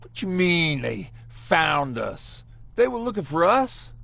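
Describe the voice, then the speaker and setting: An actor playing a gruff, suspicious leader of survivors in a video game, blunt and challenging. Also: male voice, on the high side, sing-song, slow pace, fairly bright tone, no echo, exaggerated voice. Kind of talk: video game cutscene acting.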